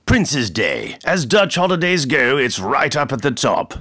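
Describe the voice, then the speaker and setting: An American man, a comedian, narrating a scripted mock nature documentary in a deadpan, mock-grand manner. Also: mid-range voice, measured pace. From United States.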